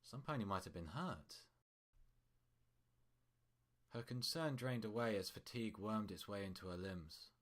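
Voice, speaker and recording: A man's voice: fairly low pitch, measured pace, pauses often. A man reading scripted fiction as a storyteller, in prose narration.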